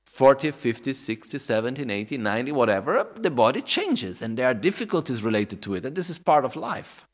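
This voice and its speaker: A man's voice: mid-range voice, very animated, fairly quick, few pauses. A man in his thirties giving a Buddhist dharma talk in non-native English, reflective and often checking in with "okay?". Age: 30s. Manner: reflective, repeats questions to the audience with "okay?" and "no?". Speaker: Buddhist teacher.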